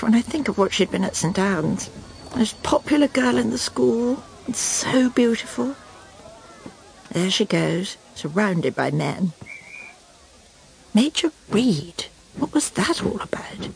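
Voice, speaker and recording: A woman's voice: mid-range voice, expressive, slow, vocal fry, rough recording. An actress playing the private thoughts of a snobbish middle-aged Englishwoman in a radio drama, murmured, confiding and disdainful. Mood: musing. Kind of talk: radio drama inner monologue.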